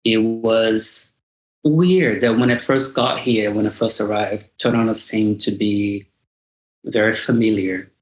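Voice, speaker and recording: A middle-aged Brazilian man with a fairly low voice and a Brazilian Portuguese accent in his English. He is a singer-songwriter being interviewed, speaking conversationally and thoughtfully as he feels out his words. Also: fairly even intonation, slow, low-quality recording. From Bahia, Brazil.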